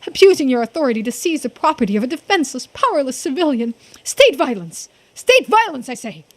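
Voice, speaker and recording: A woman voicing an excitable, scheming young treasure hunter from a video game, theatrical, wordy and boastful. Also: high-pitched, brisk. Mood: indignant, dramatic.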